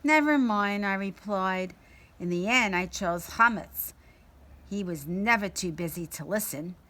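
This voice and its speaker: A woman reading a children's story aloud in an expressive manner, acting out the dialogue. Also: mid-range voice, measured pace. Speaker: story reader.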